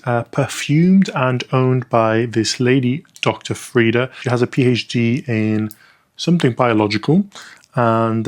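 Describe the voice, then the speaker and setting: Chatty man with a mid-range voice, full of ums. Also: medium pitch. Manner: chatty, frequent ums, thinking aloud. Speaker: perfume reviewer. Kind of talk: casual first-impressions review.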